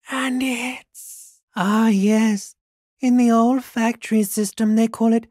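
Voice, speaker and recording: A man voicing a sinister, sneering primal brain in a video game, with a raspy, hissing half-whisper and drawn-out theatrical delivery. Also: extremely high pitch, no room sound, clean studio sound, big-room sound, exaggerated voice. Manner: slow, theatrical, drawn-out, swelling from whispers to cries. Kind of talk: video game voice acting, menacing monologue.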